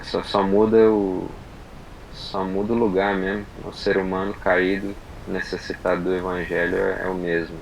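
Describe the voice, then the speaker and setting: Deep gravelly Brazilian man. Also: a little hiss or hum, poor audio. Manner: unhurried, halting, many fillers and restarts. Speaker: podcast guest. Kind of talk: casual testimony chat.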